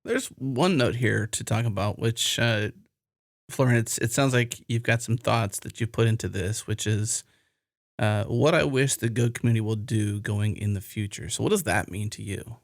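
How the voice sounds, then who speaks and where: A male podcast host with a low, gravelly voice asks questions in an easygoing, conversational way. The sound is close and dry. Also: moderate pace, no echo. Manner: inquisitive, conversational. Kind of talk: casual chat.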